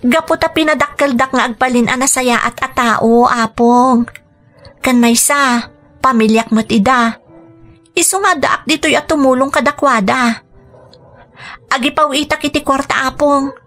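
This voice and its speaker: A woman's voice: high voice, measured pace, pauses often, a bit bright. A woman acting an earnest, dutiful and forgiving young migrant worker in an Ilocano radio drama. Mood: earnest resolve.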